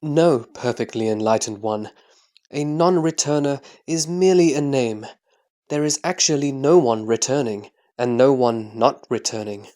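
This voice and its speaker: Male actor as earnest disciple, mid-pitched, formal, reverent, deliberate, scripture audio drama. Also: darkish tone, close to an everyday voice.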